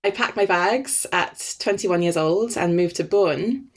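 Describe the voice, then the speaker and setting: A woman from Denmark whose first language is Danish, chatting thoughtfully as a podcast guest with frequent pauses. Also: lowish voice. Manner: thoughtful, with frequent "um" and light laughs. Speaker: guest, wine writer. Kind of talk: casual interview chat.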